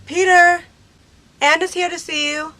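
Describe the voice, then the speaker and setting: A woman's voice: very high voice, fairly even intonation, measured pace, pushed and bright, full character voice. A woman playing a kind, welcoming mother in an amateur audio drama. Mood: calling out. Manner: kind, hospitable. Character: kindly, welcoming mother of a young man.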